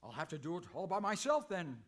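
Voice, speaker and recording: An American actor playing an exasperated, earnest masked cowboy hero in a comic old-time radio western. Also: male voice, sits high, very animated, fast, a bit bright, slight background noise. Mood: resigned. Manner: earnest, long-suffering.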